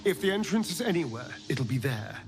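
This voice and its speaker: An actor playing a bitter, sardonic, vengeful vampire in a video game, with a haughty, theatrical delivery. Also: male voice, fairly high pitch, very animated, fairly quick, music in the background. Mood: grim, resolved. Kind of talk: cinematic video game voice acting.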